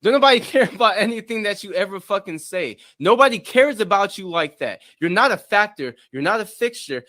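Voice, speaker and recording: A man ranting loudly and profanely, taunting others and mocking how flat they sound. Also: fairly quick, hardly any pauses. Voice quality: deep voice in his own view. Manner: profane, loud, taunting, mocks others' monotone. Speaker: streamer in a played clip. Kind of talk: angry rant.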